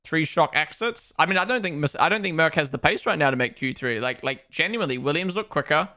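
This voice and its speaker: A young man with a mixed New Zealand and Australian accent, casually commentating a live watchalong and chatting with viewers, with frequent quick asides. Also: a little high, expressive. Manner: chatty, quick asides, reacts loudly to on-track moments. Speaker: streamer hosting a watchalong.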